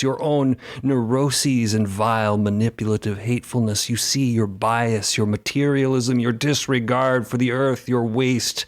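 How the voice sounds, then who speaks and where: A man performing a grave, accusing narrator of a dark superhero origin, reading scripted lines in a portentous, deliberate way. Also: mid-range voice, dry recording, clean studio sound, close to an everyday voice.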